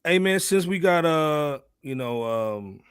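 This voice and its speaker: A man's voice: a little high, animated, slow pace, a bit bright, smooth, no room sound. Casual man hosting, dry close sound. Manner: slangy, repeatedly punctuates with "man". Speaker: podcast host. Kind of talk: casual hip hop panel chat.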